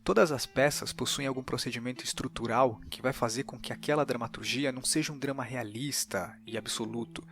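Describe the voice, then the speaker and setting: A man with a Brazilian Portuguese accent hosting a podcast, reading scripted analytical narration in a measured, explanatory way. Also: mid-range voice, fairly even intonation. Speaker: podcast host. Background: faint guitar music.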